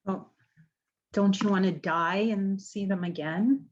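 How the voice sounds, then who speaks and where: A woman acting in a radio drama as an earnest, remorseful prison visitor with a gentle, hesitant way of talking. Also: mid-range voice, slow pace, lo-fi sound. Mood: probing.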